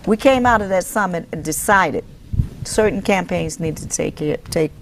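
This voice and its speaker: A woman organizer recalling her campaign work in an interview, unhurried and restarting phrases with fillers. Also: fairly low pitch, a faint crowd, a little hiss or hum. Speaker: welfare rights organizer. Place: dark studio, indoors.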